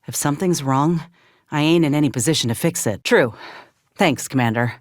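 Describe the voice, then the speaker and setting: A woman performs an earnest, steadfast female ex-soldier from a video game space frontier. Her is folksy and plainspoken, with measured delivery. The sound is very dry and close, without room. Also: low-pitched, dry recording, lightly acted. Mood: wistful, reserved. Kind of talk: video game character dialogue.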